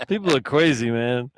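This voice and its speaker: An older male radio analyst with an average-pitched voice talks through sports in a conversational way. He is on a broadcast headset mic and moves easily between analysis and banter. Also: breathy edge, no room sound, clean studio sound. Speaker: radio color commentator.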